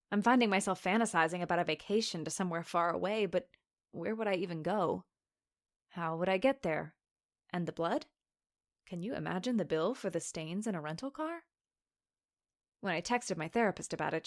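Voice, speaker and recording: A woman's voice: medium pitch, natural voice. An actress playing a sardonic, anxious young woman who narrates a confessional podcast drama in a conversational, sweary way. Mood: anxious, weary. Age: young adult. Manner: wry, sarcastic, sweary. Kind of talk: confessional scripted monologue, conversational.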